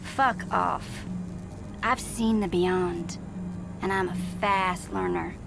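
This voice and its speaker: A slow, creaky, taunting villainess. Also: female voice, lowish voice, fairly even intonation. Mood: defiant, hungry. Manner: sultry, mocking, drawn-out teasing. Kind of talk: dramatic game cutscene dialogue. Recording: loud music under the voice.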